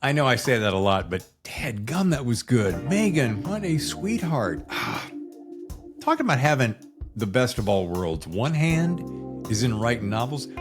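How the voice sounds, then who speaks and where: A man's voice: medium pitch, very animated, unhurried, over music. A man hosting a podcast in a casual, chatty style, with a folksy, effusive showman's way of talking. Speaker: podcast host.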